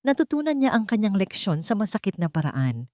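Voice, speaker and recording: A woman's voice: medium pitch, sing-song, fairly quick, darkish tone, no echo, clean studio sound. A woman narrating a dramatic scripted story for an audio drama.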